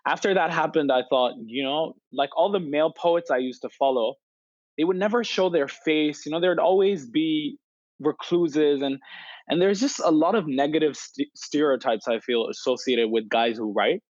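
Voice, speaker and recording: A man's voice: on the high side, moderate pace, no echo. Guest voice: young man, dry dull sound, reflective and candid, loose conversational delivery. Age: 20s. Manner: open, reflective, many "you know" fillers. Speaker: podcast guest, poet and influencer. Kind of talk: casual interview chat.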